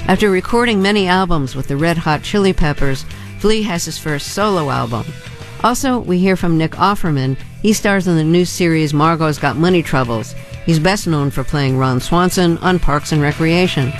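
A man's voice: on the high side, moderate pace, faint music behind. A man narrating a scripted promo for a radio show.

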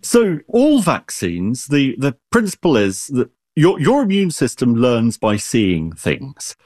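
Lively expert man, very expressive pitch, dry close sound. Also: slow pace, dry recording. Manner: animated, explains with everyday analogies, frequent restarts. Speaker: expert guest. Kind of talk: explanatory interview talk.

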